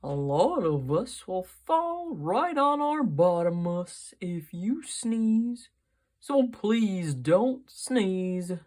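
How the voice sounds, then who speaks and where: A woman's voice: mid-range voice, big pitch swings, drawn-out words, a little mellow, full character voice. A woman voicing a ponderous hippopotamus in a storybook, drawling and pleading.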